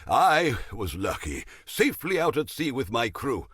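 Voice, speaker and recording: A pompous middle-aged naval captain who fences goods on the side, a schemer from a video game. His pitch swings wildly from low murmurs to high flourishes, and he takes his time, savoring each word with oily theatrical relish. The sound is close and dry. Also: very animated, measured pace, a bit bright, husky, no room sound, exaggerated voice. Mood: feigned regret. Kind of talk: video game character dialogue.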